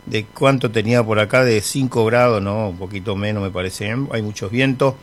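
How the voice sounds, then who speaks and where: A middle-aged man with an Argentine accent, hosting a radio music show in a relaxed, rambling way between songs, with bits of humour. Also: on the low side, fairly quick. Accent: Argentine Spanish. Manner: chatty, rambling, friendly with humour. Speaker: radio host. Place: radio studio.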